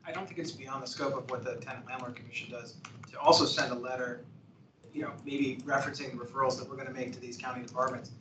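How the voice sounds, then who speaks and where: Man commission member, monotone and fast, heard in an echoey room over noise. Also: mid-range voice. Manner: measured, proposing actions. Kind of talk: discussion at a public meeting. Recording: echoey room, distant muffled mic, noisy.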